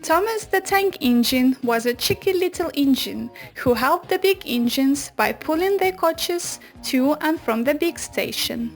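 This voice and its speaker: A woman's voice: high-pitched, lively intonation, unhurried, hardly any pauses, soft background music. A woman narrating a children's story from a script, as if reading a picture book aloud.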